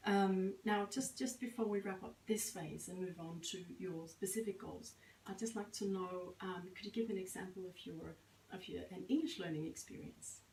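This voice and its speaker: A woman's voice: on the low side, normal speed, few pauses, darkish tone, distant mic, slight background noise. Woman coach speaking from across an echoey room. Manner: encouraging, summarizing back with many affirmations. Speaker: language coach interviewer. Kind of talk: coaching interview.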